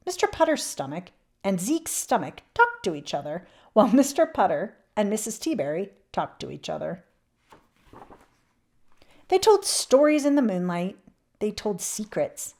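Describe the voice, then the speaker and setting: A woman reading a children's picture book aloud expressively, with emphatic swells and hushed drops. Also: mid-range voice. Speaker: children's read-aloud channel host.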